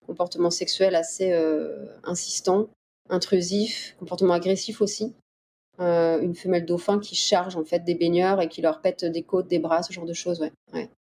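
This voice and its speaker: Femme posée, fond sonore ambiant. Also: female voice, on the low side, fairly even intonation, normal speed, warm tone. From Paris region, France. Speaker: interview guest, dolphin researcher. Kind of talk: casual conversational interview answers. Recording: clear background ambient noise.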